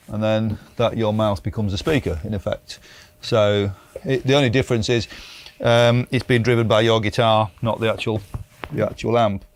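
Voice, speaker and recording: A man presenting guitar gear in a casual, explanatory, matey chat, speaking informally. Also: medium pitch. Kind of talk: casual product demo chat. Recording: clear background noise.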